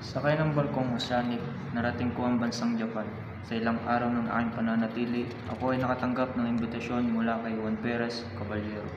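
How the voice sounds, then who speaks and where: A man playing an earnest, courteous young Filipino doctor in a scripted amateur radio drama, reciting in a measured, formal way. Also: medium pitch, flat delivery, lo-fi sound, noisy background, natural voice. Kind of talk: scripted amateur radio drama, first-person narration and dialogue. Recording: roomy echo under loud music.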